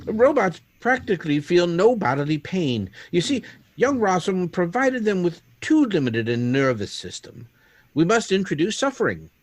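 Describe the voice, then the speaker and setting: An actor plays a coolly detached male scientist in a vintage-style radio play. His intonation is lively and his sound dry, with a matter-of-fact, slightly condescending manner. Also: high voice, moderate pace, no room sound. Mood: clinical. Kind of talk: recreated 1930s radio drama.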